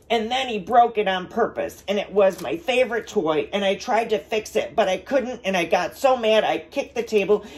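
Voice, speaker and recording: A storybook reader voicing an upset little boy who rattles off his troubles in a high, whiny run-on. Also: lightly acted.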